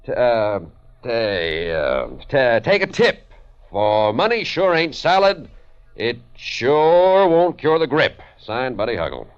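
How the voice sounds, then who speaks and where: A man's voice: medium pitch, very animated, takes it slowly, clean tone. A man playing a brusque, self-important forty-year-old businessman poet in an old-time radio detective drama, speaking in clipped, pompous phrases. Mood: pompous declaiming. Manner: clipped telegraphic speech, pompous. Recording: muffled vintage radio recording.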